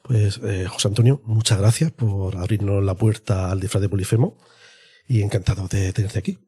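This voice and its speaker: Low-voiced man hosting a podcast. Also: fairly even intonation, moderate pace, mellow, slightly rough. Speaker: podcast host. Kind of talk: interview and scripted sign-off.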